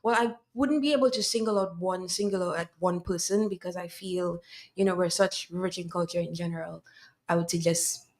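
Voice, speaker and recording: A woman in her twenties, a pageant contestant answering in a relaxed, reflective way in a radio studio interview. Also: fairly low pitch, brisk. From Dominica. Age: 20s. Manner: relaxed, reflective, uses "I just feel like".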